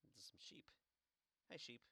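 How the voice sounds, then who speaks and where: A man's voice: measured pace, pushed and bright, no echo. A man doing casual, playful let's play commentary, joking wryly as he plays a game. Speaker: gameplay commentator playing the game.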